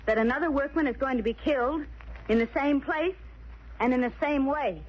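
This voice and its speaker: An actress playing a detective's devoted, helpful sweetheart with an American accent, attentive and earnest in a 1940s radio drama. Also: female voice, sits high, moderate pace, lightly acted. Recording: muffled old radio recording, faint hiss.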